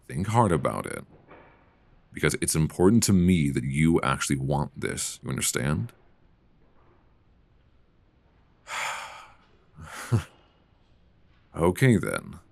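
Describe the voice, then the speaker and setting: Very deep, gravelly middle-aged librarian played by a man. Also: dry recording, full character voice. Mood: serious, commanding. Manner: conversational, hesitant, teasing. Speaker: audio roleplay performer. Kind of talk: intimate ASMR roleplay. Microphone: close binaural microphone.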